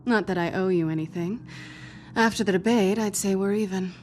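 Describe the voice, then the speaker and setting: A woman's voice: mid-range voice, brisk, a little mellow, a little hiss or hum, lightly acted. A woman playing a guarded, teasing, world-weary female thief with a wry, sardonic manner in a video game. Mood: firm, guarded. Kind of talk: video game cutscene dialogue. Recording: music behind the voice.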